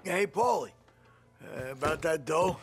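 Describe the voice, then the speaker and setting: A man playing a shifty but obliging underworld informant in a video game, with a gravelly voice. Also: a little high, animated, a little slow, faint music behind. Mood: hesitant. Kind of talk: dramatic video game cutscene acting.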